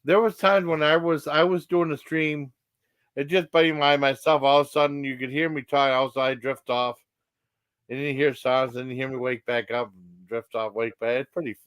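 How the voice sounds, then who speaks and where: Man, live stream host, medium pitch, casual rambling chat, halting and repetitive, dry sound. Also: steady tone, fairly bright tone, clean tone, no echo.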